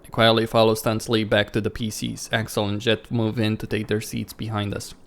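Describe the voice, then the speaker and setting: A man's voice: fairly low pitch, monotone, fairly quick, vocal fry. A man hosting a let's play, reading a visual novel's story aloud as narrator and adding casual asides.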